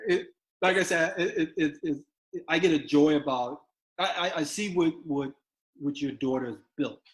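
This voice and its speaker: A man's voice: on the high side, normal speed. A middle-aged man speaking as an interview guest, a father reflecting earnestly with many restarts and fillers.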